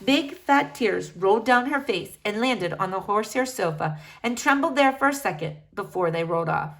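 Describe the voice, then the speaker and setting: A woman reading a children's book aloud with expressive storytelling. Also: mid-range voice, normal speed. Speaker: reader.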